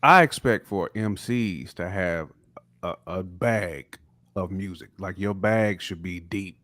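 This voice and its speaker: Man, lowish voice, dry close sound, relaxed emphatic podcast talk. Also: slow, no echo. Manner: relaxed, emphatic, repeats "you know what I'm saying". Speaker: podcast co-host. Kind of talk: casual hip hop podcast chat.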